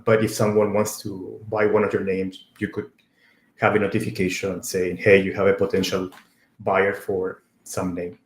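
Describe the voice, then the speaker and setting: Echoey, low-voiced man, native Spanish speaker. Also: monotone. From Argentina. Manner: conversational, halting, repeats words. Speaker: conference speaker. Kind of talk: informal tech talk. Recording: echoey, roomy sound.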